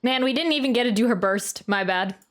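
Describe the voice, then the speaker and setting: A woman's voice: on the high side, fairly quick. A woman giving casual, chatty live commentary while she plays a video game. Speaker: game streamer. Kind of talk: live gameplay reaction commentary; casual chat. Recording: game music behind the voice. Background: video game music.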